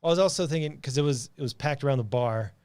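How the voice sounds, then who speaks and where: A man's voice: medium pitch, fast talker, a little mellow, clear, resonant tone, no room sound. A man chatting casually as a podcast co-host, telling anecdotes in a loose, chuckling, sweary way. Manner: chuckles often, profane. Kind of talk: casual banter and storytelling.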